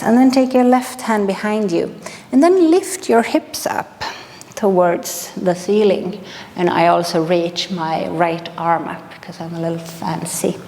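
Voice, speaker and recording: Slow-spoken Swedish woman teaching movement. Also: medium pitch, long reverb tail. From Sweden. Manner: calm, unhurried instructions with frequent ums. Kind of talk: guided movement class instruction.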